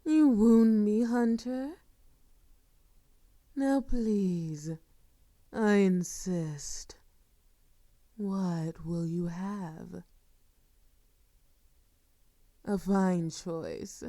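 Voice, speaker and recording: A woman playing a sadistic, seductive vampire in an intimate audio roleplay, speaking softly and formally with a silky, teasing menace that often sinks toward a whisper. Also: mid-range voice, fairly even intonation, extremely slow, long pauses, no echo, clean studio sound. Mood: mock-gracious, coaxing. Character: sadistic, seductive female vampire, courtly and predatory. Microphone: close microphone, dry.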